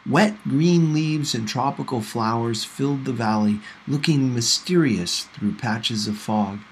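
A man reading a novel aloud in an expressive storytelling style. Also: mid-range voice, unhurried, a little hiss or hum, rough recording. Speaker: audiobook reader.

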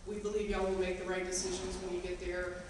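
A woman's voice: on the low side, level tone, fast. Woman, speaker at a meeting, chatty, earnest, very echoey hall, distant mic. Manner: chatty, earnest, many ums and you-knows. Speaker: speaker at a teachers' association meeting. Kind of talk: casual talk to an audience. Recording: roomy, echoey hall from far off with ambient noise.

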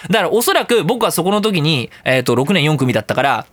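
A man in his thirties hosting a casual, comedic solo radio talk, rambling in an animated, jokey way with exclaimed asides. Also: a little high, fast talker, no room sound. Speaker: radio show host.

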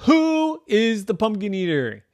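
A storyteller voicing an excitable, accusing little girl in a children's story. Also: sits high, takes it slowly, no echo, clean studio sound, voice pushed up.

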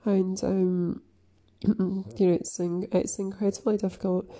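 A woman chatting casually, sniffly from crying, halting with many fillers and frequent throat clearing. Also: medium pitch, level tone, warm tone. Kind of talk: casual rambling chat.

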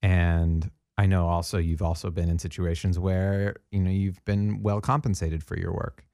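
A man hosting a reflective, conversational interview, speaking in a thoughtful, deliberate, affirming way. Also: deep voice, level tone, gravelly, dark tone, no echo, studio quality. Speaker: interviewer, host.

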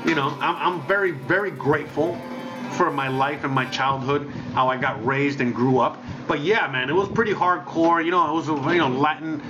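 Rambling man over loud music. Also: a little high, normal speed. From Miami, United States. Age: 30s. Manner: rambling, swears freely, drops Spanish phrases. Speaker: livestream host. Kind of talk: casual livestream chat. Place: home, wife nearby. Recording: dull lo-fi stream with loud music.

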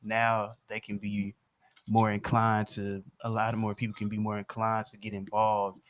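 A man's voice: lowish voice, completely flat delivery, moderate pace. A man explaining his view in casual, slangy conversation.

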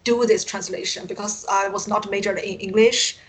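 A woman from China with a Chinese accent, a native Chinese speaker telling stories as an interview guest in halting English, searching for words and often chuckling. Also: medium pitch, steady tone, slightly rough. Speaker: interview guest, science fiction writer and translator. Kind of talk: casual interview storytelling. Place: remote internet call.

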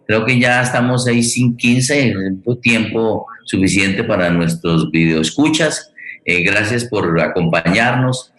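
Low-voiced Colombian man in an echoey room. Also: a bit bright. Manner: halting, repeats words while searching. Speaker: host. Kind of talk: conversational interview hosting.

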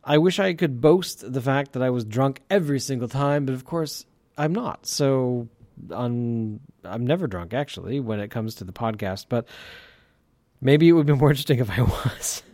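A man's voice: medium pitch, animated, normal speed, darkish tone, dry recording. A man hosting his own podcast with a light lisp, talking in a rambling, casual way with many fillers and restarts. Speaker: podcast host. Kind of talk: casual solo podcast monologue.

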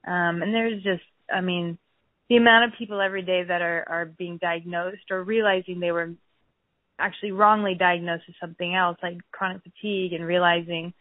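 Podcast guest, woman, hoarse and giggly, dry phone-line sound. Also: fairly low pitch, fairly even intonation, fairly quick, no room sound. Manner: giggly, says she is losing her voice. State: hoarse, clearing throat. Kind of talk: casual interview chat.

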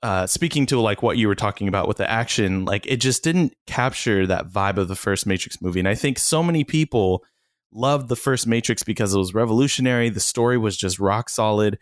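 A man's voice: on the low side, a little fast, no room sound, clean studio sound. A man co-hosting a casual movie review chat, laid-back and full of fillers, laughing often.

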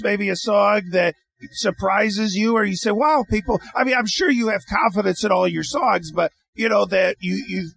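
A man hosting a radio interview, winding through his questions with false starts and trailing "I mean"s. His voice sits high for a man and has a heavy gravelly fry running through it. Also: fairly bright tone. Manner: halting, rambling questions with many restarts. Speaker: radio show host. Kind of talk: interviewing a guest.

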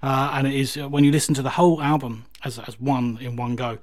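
A man's voice: mid-range voice, little pitch movement, fairly quick, a little mellow. A man hosting a music radio show, chatting casually and enthusiastically, with hesitant, repetitive phrasing.

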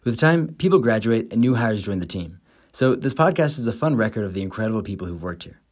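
A man's voice: lowish voice, sing-song, fast talker. Upbeat young man hosting a podcast. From United States. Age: 20s. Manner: upbeat, enthusiastic, read from a script. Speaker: podcast host. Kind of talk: scripted podcast monologue.

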